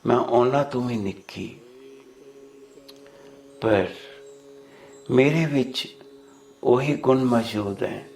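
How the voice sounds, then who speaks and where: A man with a Punjabi accent leading a guided meditation of prayerful affirmations, deliberately repeating phrases for listeners to follow. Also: mid-range voice. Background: background music.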